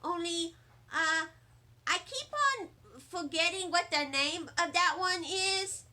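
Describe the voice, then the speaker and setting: A woman voicing an excitable, bragging little girl with childlike, emphatic chatter in a storybook read-aloud. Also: very high voice, slow pace, voice pushed up, heavily acted. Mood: eager, friendly.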